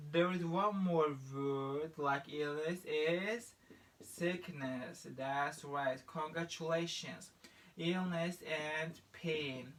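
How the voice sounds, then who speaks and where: English teacher, male, Turkish accent. Slow, deliberate delivery with a bright, forceful tone, repeating key phrases in classroom style. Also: fairly high pitch. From Istanbul, Turkey. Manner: repeats words and phrases for students. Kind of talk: classroom-style language lesson.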